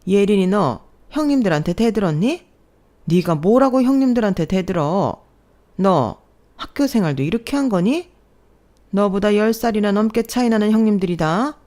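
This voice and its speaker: A woman's voice: lowish voice, expressive, moderate pace, close to an everyday voice. A woman voicing a domineering elderly mother-in-law who scolds and orders her daughter-in-law around. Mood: scolding. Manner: sharp, scolding, commanding.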